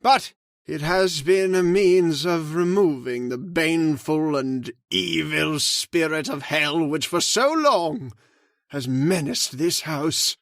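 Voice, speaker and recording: A man's voice: on the high side, takes it slowly, fairly bright tone, no echo, studio quality. A man acting a devout, fearful clergyman in a radio horror drama, with solemn, declamatory prayer. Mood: grave, righteous.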